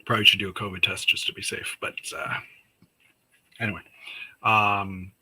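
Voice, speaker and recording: A man with a raspy sore throat from Canada, hosting a casual livestream chat and rambling through news with many fillers. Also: fairly low pitch, little pitch movement, normal speed, heavy background noise. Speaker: host of a weekly livestream chat.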